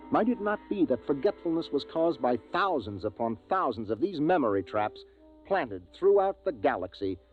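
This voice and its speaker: An actor with an American accent playing a thoughtful, commanding male spaceship captain in 1950s radio drama style, speaking urgently and deliberately. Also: mid-range voice. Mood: brooding, reflective. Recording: vintage radio, narrow tinny band, music behind.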